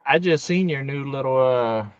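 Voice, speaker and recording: A man's voice: mid-range voice, normal speed, clear, resonant tone, no room sound, dull audio. A man in his forties chatting casually as co-host of a live stream, with folksy, teasing banter. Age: 40s. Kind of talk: casual chat.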